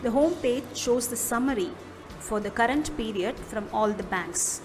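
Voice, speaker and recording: A woman with an Indian accent narrating an instructional software demo, explaining step by step in a measured manner. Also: fairly high pitch, expressive. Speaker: product demo presenter. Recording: music behind the voice. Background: background music.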